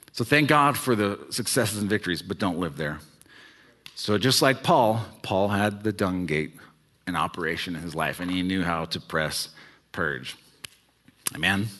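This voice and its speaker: A man's voice: sits low, bright, forceful tone. A man in his early forties preaching a sermon as pastor, talking conversationally and checking in with the congregation with frequent "okay"s. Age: 40s. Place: church sanctuary.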